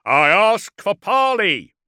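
A man's voice: medium pitch, lively intonation, unhurried, pushed and bright, no echo, clean studio sound, voice pushed up. A man voicing an ancient villainous warsmith in an audiobook, mocking, cunning and defiant, with articulate, projected taunts. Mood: calculating threat. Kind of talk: audiobook character acting.

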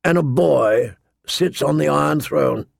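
A man voicing a gruff, grumbling old male military commander who sounds weary and disdainful. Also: on the high side, a little slow, dry recording, studio quality, heavily acted.

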